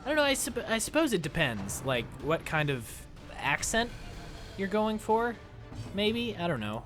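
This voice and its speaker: A young man chatters through a headset as he plays, his pitch jumping up and down a lot. Faint game music sits underneath his voice. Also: a little slow. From United States. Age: young adult. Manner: chatty, animated, talks to chat while playing. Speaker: streamer. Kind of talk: casual gameplay commentary with chat. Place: small room indoors. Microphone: headset mic.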